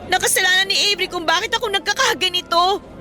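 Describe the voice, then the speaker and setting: An insecure Filipino teenage girl in a radio romance drama. Her voice is extremely high and piercingly bright. She pleads emotionally, over light background music. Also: fast talker, a faint crowd. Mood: tearful pleading.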